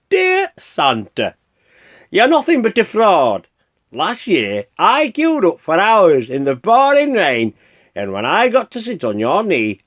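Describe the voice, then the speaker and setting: A man's voice: fairly high pitch, full character voice. An actor playing an exasperated Santa Claus in a radio play, theatrical as he reads out his mail. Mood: exasperated, indignant.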